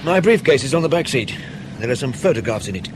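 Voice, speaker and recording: An actor plays a male intelligence officer in a radio drama, composed and evasive. He is understated and dry, with a lively mid-pitched voice. Clear vehicle-like noise runs behind his words. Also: fast, close to an everyday voice. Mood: businesslike. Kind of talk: naturalistic radio drama dialogue.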